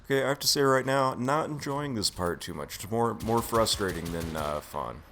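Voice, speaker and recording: A man's voice: fairly low pitch, animated, moderate pace, a bit bright, a little hiss or hum. A man giving casual, offhand video game commentary, swearing freely as he plays. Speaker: gameplay commentator.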